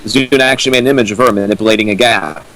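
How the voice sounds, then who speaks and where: A man's voice: on the low side, very fast, fairly bright tone. A young man chiming in with dry comments and fan lore during a comedic reading. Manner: dry, explains lore with fillers. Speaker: co-host riffing on a fan fiction.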